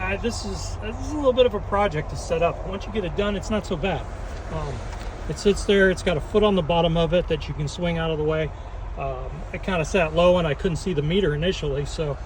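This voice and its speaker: A man with a fairly high voice talks through gear in a plainspoken, slightly rambling way. He sounds like a trucker giving a casual how-to. The handheld phone recording carries clear background noise from around a vehicle. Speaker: trucker demonstrating his CB radio setup. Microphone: handheld cell phone. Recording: noisy handheld cell phone video.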